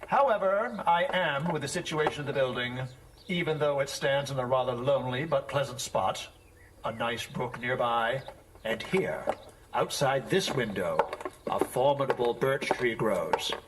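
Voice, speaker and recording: An actor playing a fussy, stern schoolmaster in an audio drama, with pompous, florid, theatrical diction. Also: male voice, medium pitch, measured pace, background noise, lightly acted. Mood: pompous, exacting.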